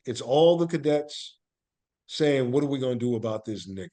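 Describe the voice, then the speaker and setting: A man speaking as an interview guest, telling stories conversationally in deliberate, emphatic short phrases. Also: medium pitch, expressive, mellow. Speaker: podcast guest, actor and playwright.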